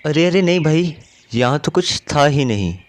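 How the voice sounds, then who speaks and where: A man's voice: fairly high pitch, lively intonation, normal speed, lightly acted. A man voicing a theatrical male bird friend in a children's cartoon. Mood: flustered denial. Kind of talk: Hindi children's cartoon voice acting.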